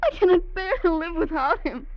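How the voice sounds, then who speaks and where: A high, urgent female voice plays a devoted young woman desperate for her lover. The delivery is pleading and theatrical, in old radio melodrama style. The sound is dull, like a vintage broadcast. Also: animated, moderate pace, heavy background noise. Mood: anguished. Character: desperate, devoted young Frenchwoman in love with a convict. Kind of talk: 1940s radio melodrama acting.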